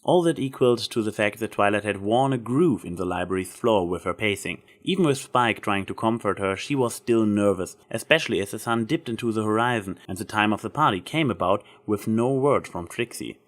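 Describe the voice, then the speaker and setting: A man's voice: on the low side, fairly quick, hardly any pauses. A man reading scripted fan fiction as the story's narrator.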